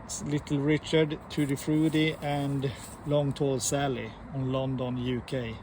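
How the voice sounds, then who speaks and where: Man doing a casual vlog show-and-tell, really slow and halting, noisy background. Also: medium pitch, flat delivery. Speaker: record collector host. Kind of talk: casual showcase vlog talk.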